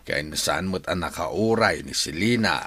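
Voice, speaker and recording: An actor with an Ilocano accent playing a consoling male relative in a radio drama, expressive and soothing. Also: low voice, a little slow, husky, no room sound, exaggerated voice. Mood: reflective.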